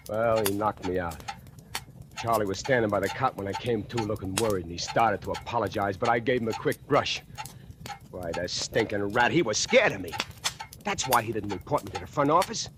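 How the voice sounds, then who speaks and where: A man's voice: mid-range voice, noisy background, close to an everyday voice. An actor playing a lazy, boastful factory worker who whines, brags and holds a grudge, in a 1940s radio drama with tough-guy slang. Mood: spiteful. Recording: old radio broadcast with music.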